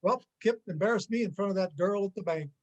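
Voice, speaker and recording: A man in an amateur reader's theater play, acting a menacing, sarcastic gangster with a halting, sneering delivery. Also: high voice. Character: menacing, sarcastic male gangster robber, jumpy and vengeful.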